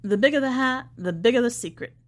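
A woman's voice: on the high side, fast talker. Woman narrating first-person fiction. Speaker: podcast story reader.

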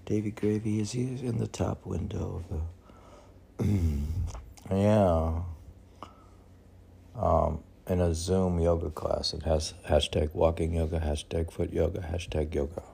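Very deep, creaky-voiced man reading poetry. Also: flat delivery, warm tone. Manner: meandering, halting, muses aloud with rhetorical questions. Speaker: poet reading own poems. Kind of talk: informal poetry reading with spontaneous commentary.

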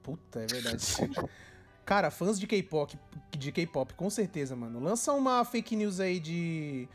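A man's voice: a little high, big pitch swings, slow pace, soft background music. A young man, chatting and joking on a podcast, rambling and repeating himself in slangy street talk. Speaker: podcast co-host. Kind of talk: casual joking chat.

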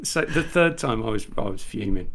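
A man's voice: lowish voice, expressive, normal speed, a bit bright. A man with an English accent chatting casually, rambling with lots of ums and blunt, irritated asides. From England. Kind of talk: casual chat.

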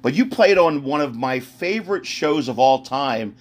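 A man's voice: mid-range voice, lively intonation, moderate pace. A man hosting a podcast interview, effusive and rambling, with lots of fillers. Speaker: podcast host. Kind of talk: casual interview chat.

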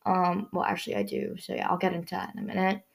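Teen girl podcast host, hoarse scratchy voice, a touch low-pitched, loose rambling delivery. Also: flat delivery, quick pace. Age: teens. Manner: rambling, many fillers and restarts. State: hoarse, strained voice. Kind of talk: casual solo podcast chat.

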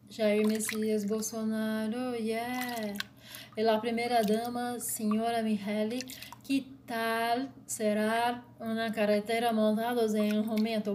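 Slow-reading woman with Brazilian Portuguese accent. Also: fairly high pitch, noisy background. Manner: slow, deliberate reading in short phrases. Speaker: blogger. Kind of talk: read-aloud commentary.

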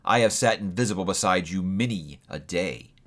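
A man's voice: low-pitched, fairly bright tone, pitched below the performer's own voice. A man voicing a mournful old ghost in chains. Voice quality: slow, heavy, mournful delivery. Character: doomed old male ghost, solemn and grieving.

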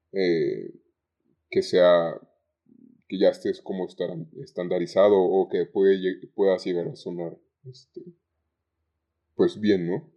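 A low, mellow male voice with a Mexican accent, recorded with slightly dull, lo-fi sound. The young man talks conversationally as an interview guest, feeling his way through sentences and repeating words. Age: young adult. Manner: hesitant, halting phrasing with repeated words. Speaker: podcast guest, call center agent. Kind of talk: conversational interview, recounting work anecdotes.

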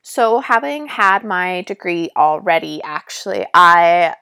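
A young woman from Canada with a raspy voice, a nursing student casually telling her story in a vlog with lots of fillers and asides. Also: fairly low pitch, animated, slow pace, bright, forceful tone. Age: young adult.

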